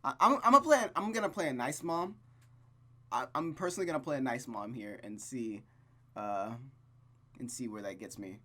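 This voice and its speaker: A gaming host reading a visual novel aloud with casual, rambling, expressive commentary. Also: medium pitch, normal speed. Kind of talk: casual game commentary and read-aloud narration.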